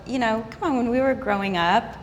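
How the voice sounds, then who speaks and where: A woman in her fifties chatting on a talk show panel, telling stories in a lively way and often restarting her sentences. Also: fairly high pitch, brisk. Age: 50s. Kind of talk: candid panel chat. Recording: reverberant room with faint noise.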